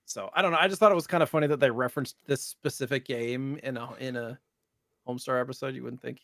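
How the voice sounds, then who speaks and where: A man in his thirties chats casually as a podcast co-host. His voice sits at a medium pitch in a very dry recording, and he feels his way through sentences, often tacking on asides. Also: fairly even intonation, quick pace, a bit bright, dry recording. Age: 30s. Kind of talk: casual retro gaming chat.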